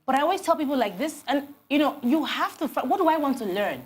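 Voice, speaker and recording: A Nigerian woman in her forties, a TV interview guest telling animated stories about her career with many restarts. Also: high voice, fast talker. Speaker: guest, HR consultant. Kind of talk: TV interview conversation.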